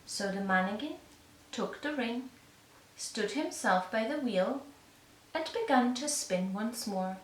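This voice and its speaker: A woman tells a children's fairy tale slowly and deliberately in a fairly high voice. She is a little far from the mic in an echoey room. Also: lively intonation. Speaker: storyteller and puppeteer. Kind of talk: scripted fairy-tale narration for young children. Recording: echoey room, distant mic, faint noise.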